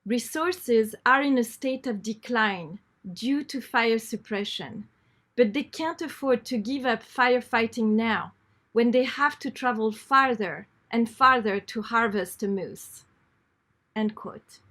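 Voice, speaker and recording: A woman reading an article aloud in a measured, unrehearsed way, repeating phrases when she stumbles. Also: on the high side, a bit bright. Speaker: reader.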